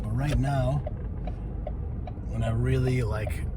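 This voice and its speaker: A man's voice: steady tone, takes it slowly. A congested man in his thirties, rambling casually to camera with fillers and swearing after leaving the gym outside. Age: 30s. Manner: loose, rambling self-talk with lots of fillers and swearing. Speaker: vlogger. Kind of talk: casual post-workout vlog. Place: outdoors by a road, leaving the gym. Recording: loud traffic noise outside. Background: traffic rumble.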